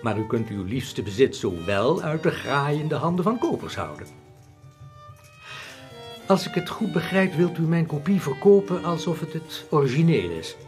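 An actor playing a courteous, shrewd art forger in a radio drama, talking smoothly and politely. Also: male voice, fairly high pitch, big pitch swings, moderate pace, darkish tone, faint music behind. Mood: probing.

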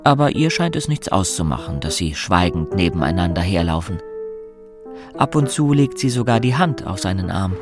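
An actor as the composed, observant narrator of a radio drama, reading scripted prose. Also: male voice, sits low, very animated, moderate pace, music in the background. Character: omniscient storyteller, composed and observant.

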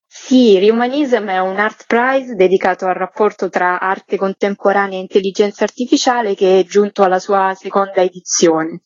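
A woman's voice: mid-range voice, steady tone, moderate pace, few pauses, a little mellow, no room sound, muffled recording. A woman giving an informative radio interview as a curator, explaining things in formal, articulate phrases.

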